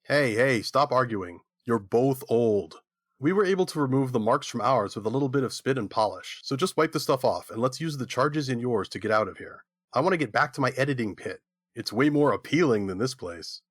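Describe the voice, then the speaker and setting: A blunt, impatient gnome, played by a man, speaks at middling pitch. The sound is dry, as in an amateur comic fantasy audio play. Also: animated, fairly quick, dry recording, natural voice.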